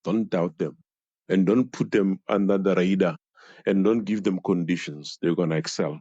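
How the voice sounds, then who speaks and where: A man with a fairly low voice gives his view in a group discussion. He talks in short, emphatic statements over a narrow, phone-like connection with no room sound. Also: fairly even intonation, moderate pace, warm tone, no room sound. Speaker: session participant. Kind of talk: giving opinions in discussion. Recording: thin phone-like call audio.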